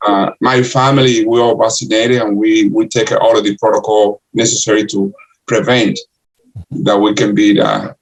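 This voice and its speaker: An older man from the Republic, answering interview questions in halting English full of restarts. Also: fairly low pitch, level tone, poor audio. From Dominican Republic. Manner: halting, many restarts and uh fillers. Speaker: guest, city councilor and candidate.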